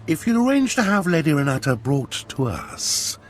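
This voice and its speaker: A haughty game-villain duke with a lively, swooping delivery. His speech is formal and pompous, that of a slippery, scheming courtier. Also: on the high side, moderate pace, faint music behind. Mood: oily deference. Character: haughty, scheming male noble Sith duke. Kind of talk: video game cutscene acting.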